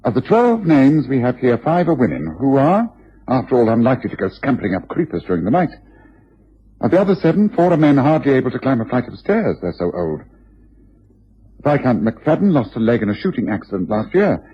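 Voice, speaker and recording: An actor playing a shrewd, self-assured gentleman detective with an upper-class English accent, precise and theatrical, in a radio drama. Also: medium pitch, sing-song, a little fast. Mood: musing, deductive. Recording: muffled, rough old radio recording.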